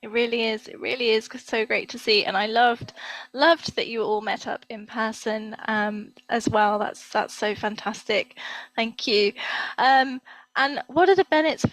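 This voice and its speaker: Chirpy woman host asking questions on a call, bit high voice, dry sound. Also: steady tone, normal speed, fairly bright tone, dry recording, rough recording. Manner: upbeat and encouraging, frequent praise. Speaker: interview host. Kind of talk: hosted interview.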